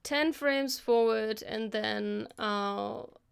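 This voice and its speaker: A woman hosting a live stream, casually talking through a software tutorial as she works, thinking aloud into a close microphone. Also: fairly high pitch, very slow, creaky, smooth. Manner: casual, chatty. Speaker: host of an animation live stream.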